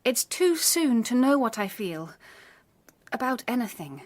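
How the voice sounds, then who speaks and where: A woman's voice: a little high, sing-song, measured pace. Genteel young Victorian Englishwoman. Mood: gentle, reluctant. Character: gentle, composed young Victorian gentlewoman, quietly firm. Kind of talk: radio drama acting.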